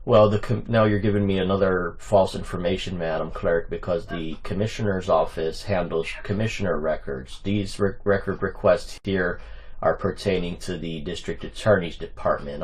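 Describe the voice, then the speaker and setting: A man's voice: low-pitched, a little fast, a little mellow. A man making an argumentative, officious phone call as a caller requesting records, insistent in his manner.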